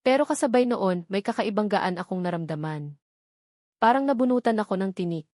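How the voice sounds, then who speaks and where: A woman's voice: medium pitch, expressive, a little fast, darkish tone, dry recording, studio quality. A woman storyteller giving scripted first-person romance narration in a dramatic, sentimental manner.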